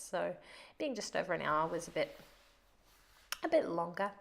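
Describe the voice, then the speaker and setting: A woman's voice: lowish voice, gravelly, fairly bright tone. A woman hosting a craft video, narrating casually in a chatty, rambling way, sounding stuffed up from a cold. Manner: chatty and rambling, laughs often.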